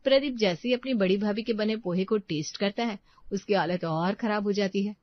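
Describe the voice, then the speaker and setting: A woman's voice: medium pitch, fairly quick, dry recording. A woman narrates a domestic story from a script in a mid-range voice. The recording is very dry and slightly muffled.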